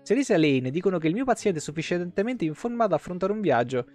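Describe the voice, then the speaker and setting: A man's voice: sits high, sing-song, fast talker. A man in his thirties with a tiring voice, reading game lore aloud on a livestream with casual asides. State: voice tired and giving out. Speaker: game streamer. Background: soft plucked guitar music.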